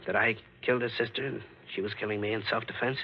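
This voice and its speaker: A man's voice: on the low side, fairly even intonation, quick pace, sharp tone. An actor playing a shaken, bitter man questioning intensely in a 1940s radio drama. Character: shaken, bitter man who survived a murder attempt. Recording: noisy vintage 1940s radio recording.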